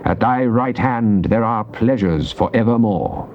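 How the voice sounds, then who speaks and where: A man's voice: lowish voice, close to an everyday voice. A man playing a solemn psalmist, dull tape. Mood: joyful reverence. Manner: solemn, declamatory, measured. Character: devout psalmist, earnest man praying to God. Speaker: dramatized scripture reader. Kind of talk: dramatic theatrical scripture recitation. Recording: dull noisy cassette tape transfer.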